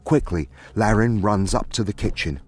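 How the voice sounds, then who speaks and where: A man's voice: on the low side, moderate pace, husky. A man narrating a scripted fantasy story as its storyteller.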